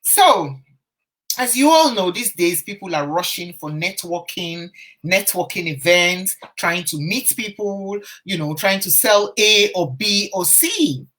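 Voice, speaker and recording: A woman's voice: on the low side, animated, unhurried, a bit bright. A woman confidence coach telling a story on a casual live stream, chatting to viewers and restarting her phrases as she goes. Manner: chatty, greets viewers by name, frequent restarts. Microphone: phone or webcam on a live stream.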